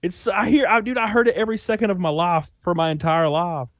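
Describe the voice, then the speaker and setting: A man's voice: sits high, fairly even intonation, fast, dry recording, studio quality. A young man with a Southern Alabama accent, talking loosely in a casual chat, full of fillers and restarts. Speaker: YouTuber guest. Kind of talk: casual conversation.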